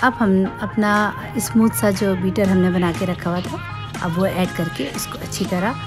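Woman calmly explaining a recipe. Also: medium pitch, unhurried, music in the background. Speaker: cooking vlogger. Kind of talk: cooking voice-over.

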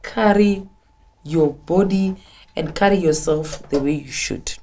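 Measured young Kenyan woman host. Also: low voice, warm tone. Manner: measured, hesitant, with fillers. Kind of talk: casual interview chat. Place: pink studio set, indoors.

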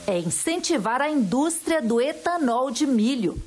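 A woman reporter narrating a news package in a clear, formal voice-over. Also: high voice, big pitch swings, unhurried, darkish tone.

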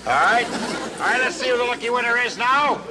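An actor playing an easygoing, confident middle-aged navy skipper in a 1960s TV sitcom, giving orders in a breezy, commanding way. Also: male voice, extremely high pitch, animated, normal speed, noisy background. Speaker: PT boat skipper.

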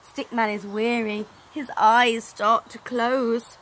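A woman in her thirties reading a children's story aloud in an animated, dramatic way, recorded outdoors. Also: fairly high pitch, slow. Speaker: storytelling teacher. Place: outdoors on an open wooden deck. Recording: outdoor recording, clear background noise.

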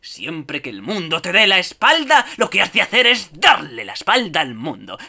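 A man's voice: sits high, huge pitch swings, moderate pace, vocal fry, bright, forceful tone, full character voice. A man voicing a cocky, wisecracking little meerkat in a cartoon dub, with a Castilian Spanish accent. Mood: breezy, advising. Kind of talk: cartoon fandub audition.

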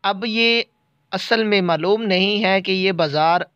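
Slow-talking man with a high, smooth voice. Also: fairly bright tone, no room sound, highs cut off. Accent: Pakistani Urdu. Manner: slow, measured guide-like explaining. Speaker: travel vlogger. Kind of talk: walking tour narration. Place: small shops in a bazaar, indoors and out.

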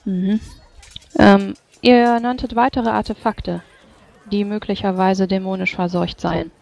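Gravelly, creaky-voiced game master. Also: mid-range voice, flat delivery, measured pace, a little mellow. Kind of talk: tabletop role-play narration and in-character dialogue.

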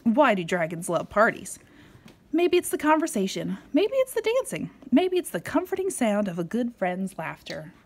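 A woman reading a picture book aloud to a young child in a playful, expressive way with dramatic emphasis. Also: mid-range voice, normal speed. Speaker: storybook reader.

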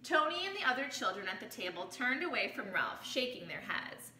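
A woman in her thirties, a teacher reading a children's picture book aloud, expressive and pausing to explain things to children. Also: fairly high pitch. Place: small living room, indoors. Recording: roomy home recording with background noise.

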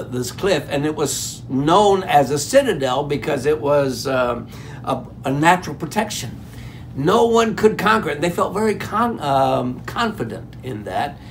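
An older male pastor with a gravelly, slightly high voice preaches to a webcam at home. He talks in a folksy, animated way, often checking in with "okay?". A faint hiss sits under the recording. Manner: animated, gesturing with raised hands; folksy, stops to ask "okay?". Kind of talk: sermon. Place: home room before a decorated fireplace.

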